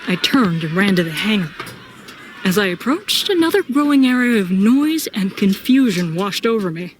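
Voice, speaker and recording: A woman's voice: mid-range voice, very animated, moderate pace, lightly acted, rough recording. A woman narrating a sci-fi audio drama in first person as a troubled, brooding soldier. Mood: tense recounting. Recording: clear background noise and ambience.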